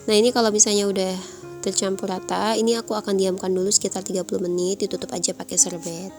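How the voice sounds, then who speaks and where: A woman's voice: medium pitch, flat delivery, fast talker. A woman with a nasal, congested voice from a cold, casually explaining a recipe step by step in a voice-over. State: stuffy nose from flu. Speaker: home cook presenting a recipe. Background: background music.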